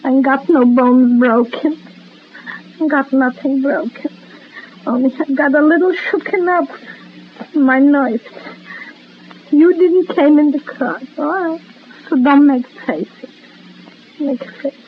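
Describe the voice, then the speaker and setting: A woman's voice: high voice, slow, long pauses. An actress playing a stubborn, loving housewife and mother in an old-time radio soap opera, with folksy grammar and repeated phrases. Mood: insistent, soothing. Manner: folksy immigrant-style grammar, repeats herself. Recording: lo-fi old radio recording with hiss.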